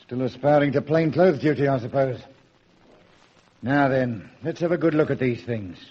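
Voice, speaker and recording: A muffled old radio play recording of a man acting as a senior police officer. His husky voice is curt and authoritative, with an irritable bark. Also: mid-range voice, moderate pace, natural voice. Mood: dry, musing. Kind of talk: vintage radio drama acting.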